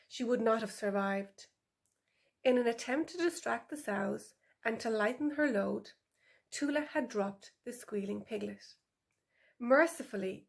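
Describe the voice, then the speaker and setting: Higher-voiced woman narrating a storybook. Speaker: author reading her own book. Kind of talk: scripted storybook narration for older children.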